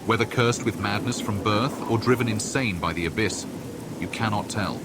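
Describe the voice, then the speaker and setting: A man's voice: lowish voice, normal speed, very noisy. A man reading scripted second-person narration for a fantasy game.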